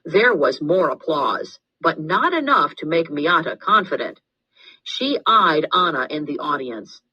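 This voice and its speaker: A woman narrates a children's story in a low voice with lively intonation and an unhurried pace. The delivery is expressive and deliberate, on a dry, slightly dull recording. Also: husky. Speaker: storybook reader. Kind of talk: scripted narration, children's read-aloud.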